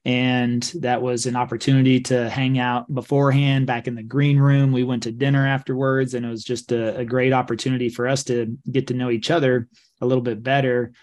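Man, 40s, easygoing podcast host on a desk mic, relaxed intro. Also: medium pitch, brisk. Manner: casual, many fillers, reads stats. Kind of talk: interview introduction. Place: home studio.

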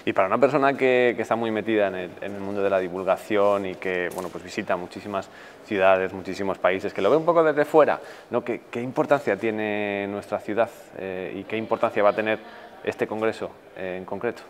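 A man's voice: lowish voice, steady tone, fairly quick, slight background noise. A man in his thirties hosting a TV magazine, asking a guest questions on a lapel mic. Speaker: TV host. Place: indoor interview set.

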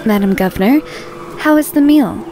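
A female actor as a keen news reporter in an audio drama. She projects her questions in a fairly high voice, with music playing behind. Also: expressive, moderate pace, lightly acted. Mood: eager. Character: eager female press reporter calling out questions.

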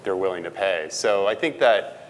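A middle-aged man giving halting, unscripted commentary as a panelist on a conference stage. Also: low-pitched. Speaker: panelist, finance professional. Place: conference stage in a large hall. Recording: reverberant hall, faint background noise.

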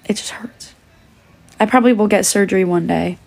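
Creaky-voiced young woman losing her voice. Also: medium pitch, a little fast, darkish tone. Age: young adult. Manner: chatty, reading out and answering fan questions. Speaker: livestreamer answering comments. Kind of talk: casual livestream chat. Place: indoors, small room. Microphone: phone held at arm's length.

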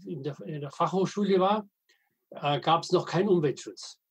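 Husky-voiced man in his eighties. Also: fairly high pitch. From Middle Franconia, Germany. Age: 80s. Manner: halting, frequent hesitations and restarts; dry humor, anecdotal. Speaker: guest, veteran wastewater engineer and editor. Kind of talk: podcast interview, storytelling.

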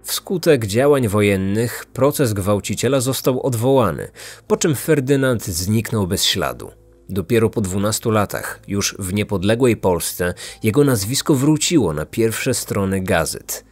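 A man's voice: lowish voice, animated, measured pace, slightly rough, no echo. A man reading a scripted true-crime story as a podcast narrator.

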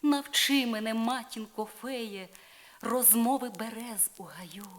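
Actress as lovelorn young maiden, yearning, pleading, slow lyrical verse, clicking vinyl record. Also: female voice, on the high side, slight background noise. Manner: plaintive, yearning, lyrical delivery. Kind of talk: dramatic poetry recitation.

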